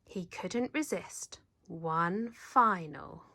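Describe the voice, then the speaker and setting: A woman reading a children's picture book aloud in an animated, dramatic storyteller's manner. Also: on the low side, very slow, gravelly. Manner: animated, dramatic, leans into sound words. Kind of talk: expressive read-aloud narration.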